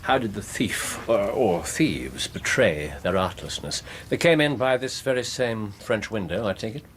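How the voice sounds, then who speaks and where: An actor playing a brilliant, aloof English detective in a radio drama, precise and dry, with a wry theatrical manner. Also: male voice, fairly low pitch, lively intonation, unhurried, a little hiss or hum. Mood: coolly probing.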